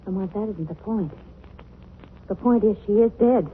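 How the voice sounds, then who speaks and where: A woman's voice: mid-range voice, normal speed, close to an everyday voice. An actress playing an earnest, insistent young lady, companion to a detective, in a 1940s radio drama. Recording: tinny, noisy 1940s radio recording.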